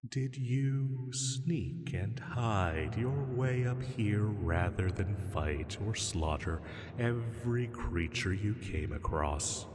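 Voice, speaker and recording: A man voicing an ancient dragon in an audio roleplay, menacing and smug, taunting his prey with deliberate, mock-pitying words. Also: sits low, a little mellow. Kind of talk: slow, intimate scripted roleplay monologue. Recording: cavernous long reverb.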